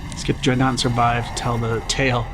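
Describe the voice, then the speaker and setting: A man's voice: medium pitch, flat delivery, fast, noisy background. A man hosting a reaction channel, chatting casually with joking asides.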